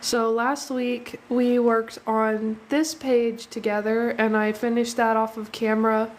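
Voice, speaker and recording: A woman's voice: on the high side, little pitch movement, a little slow. Sniffly young woman chatting while she draws. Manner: chatty, thanks viewers, laughs easily. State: slightly sniffly. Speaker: art livestream host. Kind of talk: casual live drawing chat.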